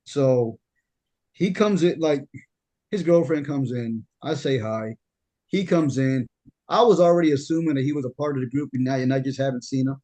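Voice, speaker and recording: A young man with a deep voice hosting a casual podcast chat, often stammering and repeating words as he tells stories. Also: moderate pace, mellow. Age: young adult. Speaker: podcast host.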